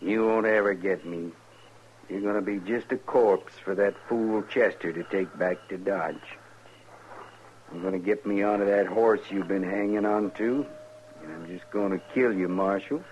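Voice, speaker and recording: An actor playing a cocky, menacing outlaw in a 1950s radio western, talking in a folksy, sly way. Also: male voice, sits low, measured pace. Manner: folksy drawn threats and sly persuasion. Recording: muffled 1950s radio recording with hiss.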